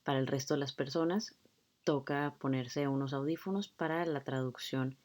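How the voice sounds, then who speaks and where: A woman's voice: a bass voice, moderate pace, darkish tone. A woman lecturer explaining a court hearing video to her students in a conversational way, with frequent fillers. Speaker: law lecturer.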